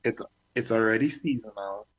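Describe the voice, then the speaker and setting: Husky man talking candidly, clearing his throat. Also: lowish voice, moderate pace, poor audio. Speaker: music manager. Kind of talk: casual interview talk.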